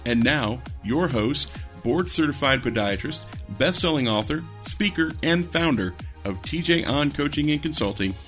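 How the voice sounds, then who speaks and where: A man's voice: mid-range voice, lively intonation, normal speed, few pauses, fairly bright tone. A man reading a scripted podcast introduction in a formal announcer style. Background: faint music.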